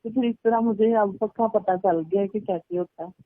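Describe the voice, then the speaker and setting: A woman's voice: mid-range voice, moderate pace. Woman, casual storyteller on a recorded phone call; tinny, narrow sound, dry with no room echo. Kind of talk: casual phone chat, recounting personal stories. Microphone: telephone line.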